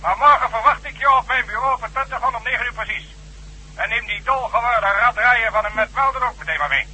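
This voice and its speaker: An actor playing an irate, scornful government minister bellowing through a telephone filter in a radio drama. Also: male voice, very high-pitched, quick pace, sharp tone, slightly rough, heavily acted. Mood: angry. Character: irate, blustering government minister, male, foul-mouthed bully. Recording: thin, harsh telephone line.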